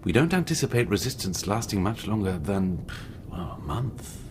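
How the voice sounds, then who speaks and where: A manipulative English army major in a wartime radio drama. His voice is deep and swings expressively while staying measured and understated. A faint noise sits behind him. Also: sing-song. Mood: coolly persuasive. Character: shrewd British army major, smooth and manipulative.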